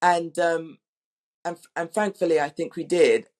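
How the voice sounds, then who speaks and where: A woman director in a casual panel talk, thinking aloud in a halting, conversational way. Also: on the low side, steady tone, darkish tone. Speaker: audio drama director.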